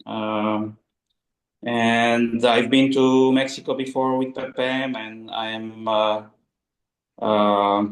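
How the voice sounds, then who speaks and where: Slow, halting man in an echoey room. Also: medium pitch, little pitch movement. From Romania. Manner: halting, many fillers and pauses. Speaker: call participant.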